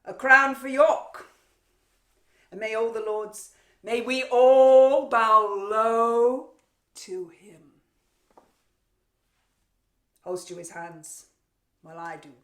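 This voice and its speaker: A woman performing a Shakespearean stage monologue as a vengeful warrior queen, theatrical and taunting, with drawn-out pauses, gloating over a captive. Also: fairly low pitch, little pitch movement, a little mellow. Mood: mocking ceremony. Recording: roomy, echoing untreated room.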